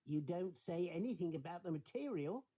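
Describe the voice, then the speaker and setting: A man's voice: sits high, lively intonation, normal speed, mellow, rough recording. A man voicing a sly storybook swindler posing as a weaver, falsely polite and coaxing.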